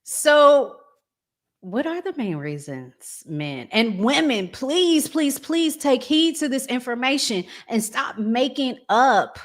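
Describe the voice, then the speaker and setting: A woman's voice: sits high, big pitch swings, slow. A woman with an American accent hosting a casual relationship talk show in a conversational way.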